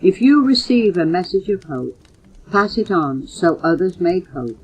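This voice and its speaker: Slow, low-voiced older woman reciting poetry. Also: very animated, slightly rough. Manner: measured, earnest recitation, announcing titles and authors. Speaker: mother reading poems for her children. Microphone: home cassette recorder. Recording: muffled old home audio cassette.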